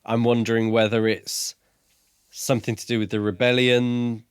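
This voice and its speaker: A man's voice: mid-range voice, level tone, moderate pace, clean tone, dry recording, studio quality. A man co-hosting a podcast, talking casually and musing his way tentatively through ideas. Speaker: book podcast co-host. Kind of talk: casual discussion.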